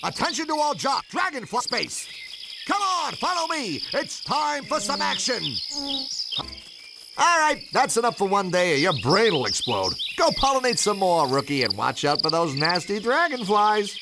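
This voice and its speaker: Brash, wildly animated veteran pilot bee. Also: male voice, high voice, moderate pace, very noisy. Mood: bossy, urgent. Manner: brash, teasing, commanding. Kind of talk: animated video game cutscene acting. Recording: loud music behind the voice.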